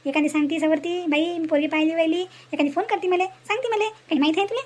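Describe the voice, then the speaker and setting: A woman's voice: very high voice, quick pace, a little mellow. A woman voicing a gossipy, indignant village woman in a comedy cartoon, scolding and chatty in a rural Varhadi Maharashtrian accent. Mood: indignant gossip. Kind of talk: animated comedy cartoon dialogue in rural dialect.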